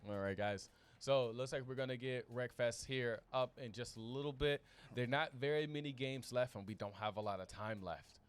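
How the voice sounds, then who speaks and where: A man's voice: mid-range voice, normal speed, clean tone. A man chatting casually as an esports commentator, informal and easygoing, feeling his way through his phrases. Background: background music.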